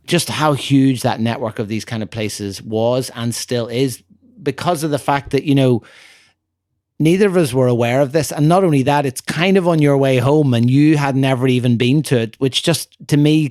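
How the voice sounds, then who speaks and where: A man's voice: mid-range voice, a little mellow, no room sound, clean studio sound. A man co-hosting a casual podcast chat, talking in a rambling, hesitant way with lots of fillers and restarts. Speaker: co-host, photographer.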